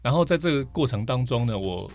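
A man's voice: mid-range voice, lively intonation, brisk, a little mellow, soft background music. A man with a Taiwanese Mandarin accent, chatting casually as a podcast guest and telling anecdotes with playful asides. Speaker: podcast guest, vinyl record collector. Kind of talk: casual interview storytelling.

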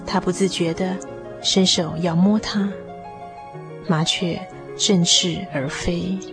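A woman narrating a radio drama in an expressive, measured literary style. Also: fairly low pitch. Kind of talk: scripted radio drama storytelling. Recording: music behind the voice.

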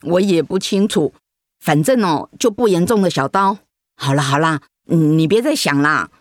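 Worried middle aged mom, radio drama, super lively pitch, dry sound, flustered, taiwanese mandarin accent. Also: female voice, moderate pace, no echo. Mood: evasive reassurance. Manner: hesitant, fretful. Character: protective, flustered middle-aged mother hiding bad news.

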